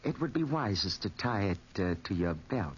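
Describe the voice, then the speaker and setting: An actor playing a fat, sinister gambling-house boss in 1940s radio style, menacingly courteous and deliberate. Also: male voice, on the low side, big pitch swings. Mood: oily politeness. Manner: mock-polite, menacing, deliberate. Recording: muffled, noisy 1940s radio recording.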